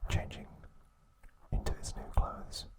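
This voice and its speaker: A man hosting ASMR, narrating a recap in a breathy, hushed whisper with short, halting phrases. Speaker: ASMR host.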